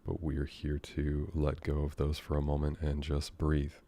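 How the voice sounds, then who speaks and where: An American man guiding a meditation in a gentle, unhurried, unscripted way, leaving long pauses between phrases. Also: extremely low pitch, completely flat delivery. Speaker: meditation guide. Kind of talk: guided meditation, soothing and unscripted.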